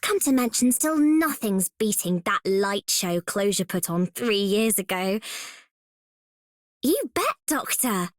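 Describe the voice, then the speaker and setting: A woman's voice: medium pitch, sing-song, takes it slowly, dry recording, clean studio sound. A woman voicing an earnest, eager young female operator in scripted video game lines. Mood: cheerful.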